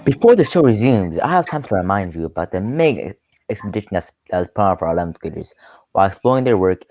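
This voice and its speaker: A man serves as announcer for a student radio show. He reads from a script in a low voice with very little room sound. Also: sing-song, fast, a little mellow, no echo, soft background music.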